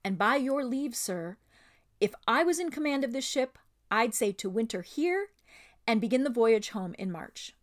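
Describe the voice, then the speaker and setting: A woman's voice: fairly high pitch, animated, normal speed, voice pushed up, lightly acted. A woman voicing an old, weather-wise male sailor in a storybook, deferential and politely offering cautious advice. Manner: polite, advising.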